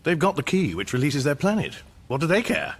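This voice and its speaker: A man's voice: a little high, big pitch swings, fairly quick, husky, heavily acted. An actor playing a fretful, awkward, peevish Englishman with an English accent in a radio comedy. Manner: hesitant, peevish. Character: fretful, awkward ordinary Englishman adrift in space. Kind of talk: radio comedy drama acting.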